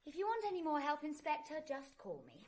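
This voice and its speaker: A woman's voice: sits high, wildly swooping pitch, a little fast, a little mellow. An actress playing a self-assured, forthright young upper-class English woman in a vintage radio drama. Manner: breezy, forthright. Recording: noisy vintage radio recording.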